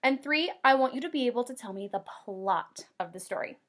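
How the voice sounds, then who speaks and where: A woman kindergarten teacher doing an expressive read-aloud for young children, with drawn-out emphasis and simple explanations. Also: on the high side. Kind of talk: animated children's read-aloud with comprehension questions.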